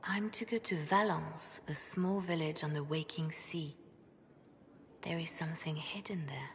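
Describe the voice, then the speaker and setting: An actress playing a composed, devout, pragmatic spymaster in a video game, speaking in a measured, earnest way. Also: female voice, deep voice, fairly even intonation. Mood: guarded, serious. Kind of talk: video game cutscene dialogue. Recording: long reverb, faint background noise.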